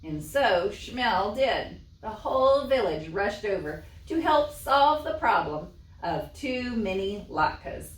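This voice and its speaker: A woman's voice: mid-range voice, very animated, slow. A woman presenting a library storytime, reading and explaining crafts expressively for young children. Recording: echoey room, distant microphone.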